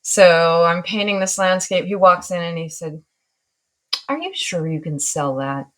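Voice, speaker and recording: A woman's voice: on the low side, expressive, a little slow. A woman in her sixties from Northern California, a guest artist in an interview, talking in a reflective, wry way and laughing at herself. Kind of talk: relaxed interview talk.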